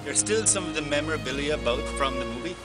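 A man in his fifties giving a casual, explanatory tour outdoors, speaking in a halting way. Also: on the high side. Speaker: tour guide. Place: outdoors at a waterside film set. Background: loud music.

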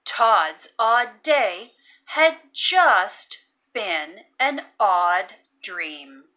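Female read-aloud narrator for young children: very slow, hugely varied pitch, sing-song and deliberate, stressing key words. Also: very animated, bright, forceful tone, low-quality recording. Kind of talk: expressive picture-book reading with phonics teaching.

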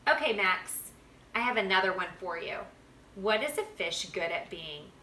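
A kindly old storybook grandfather, read out by a woman, explaining patiently and with emphasis. The tone is bright, the room echoes, and faint noise sits behind. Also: mid-range voice, animated, normal speed, distant mic. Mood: encouraging, wise. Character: kindly, wise grandfather teaching his grandson. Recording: roomy home recording with background noise.

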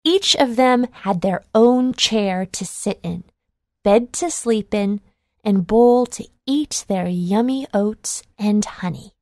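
A woman narrating a children's storybook in a measured, clearly enunciated read-along delivery. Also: medium pitch, expressive, a little mellow, no room sound, clean studio sound.

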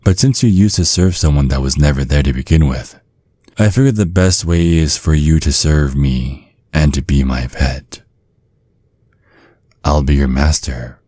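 A man playing a seductive, possessive vampire in an intimate ASMR roleplay, husky and breathy, with a teasing, menacing drawl that often sinks to a whisper. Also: a bass voice, a little slow, dark tone, no echo, studio quality. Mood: possessive, gloating. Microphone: close, dry microphone.

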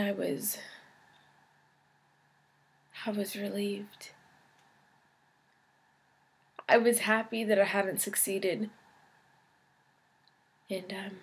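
A woman performing a dramatic monologue as a despairing young woman, speaking in a halting, emotional confession. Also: on the high side, vocal fry, husky. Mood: hushed, haunted. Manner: halting, emotional, swinging from hushed to anguished. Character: despairing suicidal young woman baring her pain in confession.

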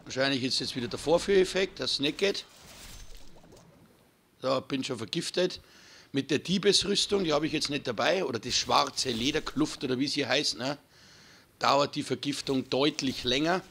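A hoarse man talks through a video game in a relaxed, chatty way, calling his viewers friends. His voice has a bright, forward edge despite the hoarseness. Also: medium pitch, moderate pace, slight background noise. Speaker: gaming channel host. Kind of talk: casual let's-play commentary.

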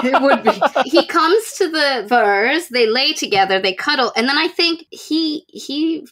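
Young female podcast host, higher register, very expressive intonation, giggly casual storytelling. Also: measured pace. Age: young adult. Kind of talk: casual book recap with acted-out dialogue. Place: indoors, room with bookshelves.

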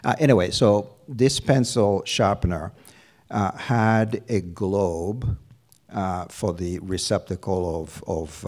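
Deep-voiced man speaking slowly with fillers. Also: darkish tone. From Uganda. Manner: measured, many fillers. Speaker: university provost. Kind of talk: live campus interview. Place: lounge stage before an audience. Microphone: handheld microphone.

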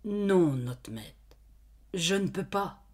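A woman voicing a frightened young farm servant girl who pleads and denies in a high, tearful voice. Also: big pitch swings, moderate pace, a little mellow, husky. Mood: trembling.

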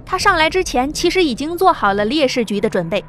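A woman narrates an audio drama in a steady storytelling voice. Her pitch sits high. Faint music plays beneath her. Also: fast talker.

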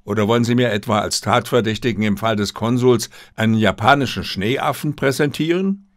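A man's voice: mid-range voice, fairly quick, no room sound, studio quality, close to an everyday voice. A man voicing a pedantic, impatient detective in an audiobook, with a precise, dismissive manner. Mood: irritated, dismissive. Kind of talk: audiobook character reading.

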